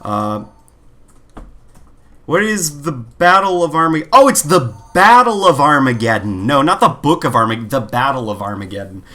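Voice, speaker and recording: A man with a bright, forceful voice at an average male pitch. He chats casually as a podcast co-host, wandering through animated, joking asides in loose conversational banter. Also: moderate pace. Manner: rambling, jokey, animated storytelling.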